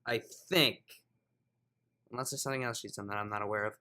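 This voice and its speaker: A man's voice: lowish voice, steady tone, fairly quick, bright, forceful tone, clean tone. A man who reads fan fiction aloud and hosts his own channel. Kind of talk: dramatic scripted story narration, then casual outro. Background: music.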